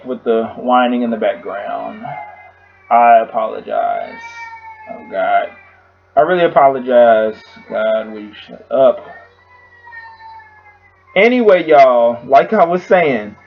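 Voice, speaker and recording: A man with a hoarse voice casually recapping a TV episode at home, rambling with lots of fillers. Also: mid-range voice, lo-fi sound. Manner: rambling, filler-heavy storytelling. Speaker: YouTube reviewer.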